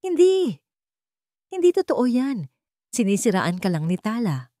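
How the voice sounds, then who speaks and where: Woman playing a scheming fiancée, pitched up. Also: very animated, measured pace, dark tone, no room sound, studio quality. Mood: panicked denial. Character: scheming, deceitful fiancée, falsely sweet, cornered.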